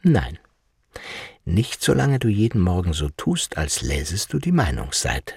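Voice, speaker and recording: An actor playing the bitter, resigned inner voice of an elderly professor in a radio drama, measured and sardonic. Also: male voice, low-pitched, wildly swooping pitch, gravelly, a little mellow, slightly rough, dry recording, heavily acted. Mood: grim.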